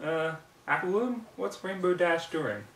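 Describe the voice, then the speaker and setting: A man's voice: high voice, animated, unhurried, heavy background noise, pitched above the performer's own voice. Man acting out a brash young filly pony, distant and roomy. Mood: puzzled. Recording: roomy, distant microphone in home room.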